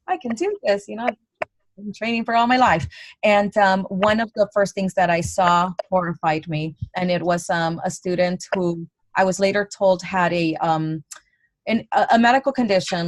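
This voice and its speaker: A woman's voice: fairly low pitch, no echo. A young adult woman giving a college lecture in a conversational way, with many fillers and restarts.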